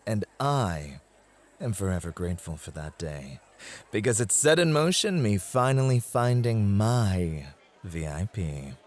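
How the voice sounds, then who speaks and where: A man playing a possessive, devoted club host in an ASMR roleplay, smooth-talking and condescending toward his rival. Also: lowish voice, big pitch swings, a little slow. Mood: composed, devoted. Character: possessive, devoted male club host named Roy, courting a guest.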